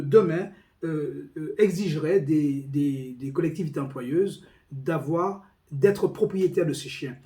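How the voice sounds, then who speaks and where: Formal man, mellow higher-pitched voice. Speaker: police union representative. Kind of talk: spoken meeting report with quoted documents.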